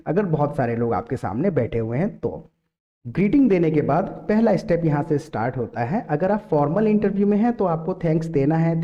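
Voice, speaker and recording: A man with an Indian accent teaching a step-by-step language lesson, instructional, repeating example sentences slowly and deliberately. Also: a little high, highs cut off. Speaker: English teacher. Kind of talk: step-by-step spoken English lesson.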